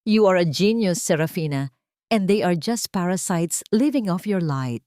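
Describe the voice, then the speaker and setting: A woman's voice: fairly low pitch, expressive, moderate pace, warm tone, no echo, lightly acted. A woman voicing a protective, intense man, devoted and solemn, in a dramatic audio story. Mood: angry, intense.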